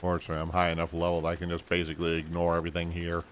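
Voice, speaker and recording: A man's voice: low voice, completely flat delivery, rapid-fire, slight background noise. A man who streams games, reading on-screen game text aloud. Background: game music.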